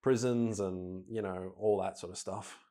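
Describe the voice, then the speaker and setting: A man's voice: deep voice, fairly even intonation, measured pace, mellow. A man hosting a podcast, chatting casually with a blunt, sweary, enthusiastic manner. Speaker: podcast host. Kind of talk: casual interview chat.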